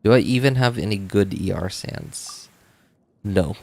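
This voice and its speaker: A man's voice: lowish voice, measured pace, vocal fry, warm tone. A man chatting casually on a game livestream, thinking aloud in a rambling way and often repeating himself. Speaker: game streamer. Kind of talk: casual livestream chat while playing.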